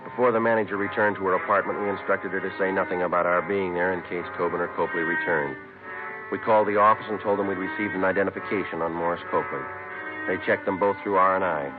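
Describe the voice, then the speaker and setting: An actor playing a terse police detective who narrates his case in a clipped, deadpan way in a 1950s radio drama. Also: deep voice, quick pace, music in the background, close to an everyday voice. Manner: clipped, deadpan, matter-of-fact. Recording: muffled old radio broadcast recording.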